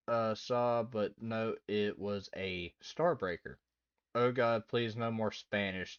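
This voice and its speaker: A man's voice: lowish voice, clean tone. A young man chatting casually with his livestream viewers, rambling and laughing easily. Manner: rambling, laughs easily, reads out chat messages. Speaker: streamer. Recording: dull lo-fi audio with faint background music.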